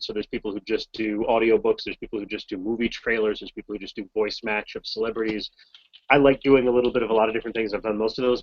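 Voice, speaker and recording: A voice actor from Massachusetts answering fan questions in a casual, rambling, self-deprecating way over a video call. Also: male voice, mid-range voice, dry recording, highs cut off. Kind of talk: casual Q&A chat.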